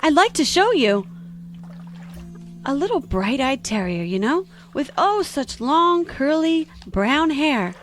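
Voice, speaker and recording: Earnest, chatty little girl, voiced by a woman; high and sing-song. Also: very animated, slow pace, soft background music, full character voice. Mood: chattery. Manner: chattery, earnest, easily flustered. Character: curious, polite little girl. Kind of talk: audio drama acting.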